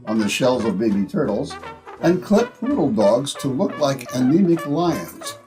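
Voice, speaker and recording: A man's voice: medium pitch, sing-song, measured pace, dark tone, faint music behind. A man announcing in old-time radio style, with polished, salesmanlike phrasing.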